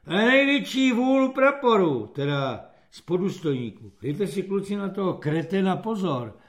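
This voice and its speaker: A man reading aloud voices a gruff, sardonic army corporal warning young recruits. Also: fairly high pitch, animated, a little mellow. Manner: dry, sardonic, weary.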